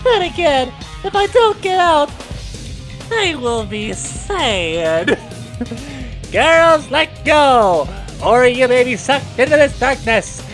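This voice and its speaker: A woman's voice: high voice, very animated, slow, exaggerated voice. A woman voicing a heroic young male hedgehog superhero in an amateur comic dub. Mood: glum, pleading. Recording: faint music behind.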